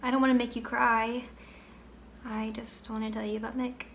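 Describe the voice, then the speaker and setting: Young woman doing a wistful girl telling about her brother, high voice, pauses, faint noise. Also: flat delivery, fairly bright tone. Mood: matter-of-fact, wistful. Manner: conversational, wistful storytelling with pauses. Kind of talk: dramatic monologue.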